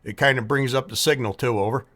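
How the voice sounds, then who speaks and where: Male amateur radio operator, medium pitch, casual, folksy, measured delivery. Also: heavy background noise. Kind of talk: casual ham radio contact.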